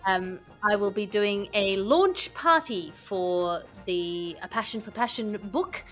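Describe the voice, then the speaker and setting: A woman's voice: mid-range voice, takes it slowly, a bit bright, soft background music. A woman speaking as a podcast host, moving between casual chat and scripted promotion.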